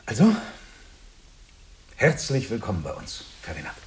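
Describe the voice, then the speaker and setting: Boastful, animated husband and host. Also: male voice, fairly low pitch, measured pace, a little hiss or hum. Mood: pleased, boastful. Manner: boastful, jovial. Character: self-satisfied, eager husband hosting a guest. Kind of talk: radio play acting.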